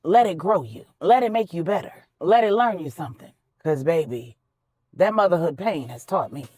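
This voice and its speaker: A woman's voice: lowish voice, animated, moderate pace, warm tone, husky, no room sound, clean studio sound. Woman voicing a candid, wise older mother. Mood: consoling, firm. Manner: earnest, emphatic, preacherly, folksy.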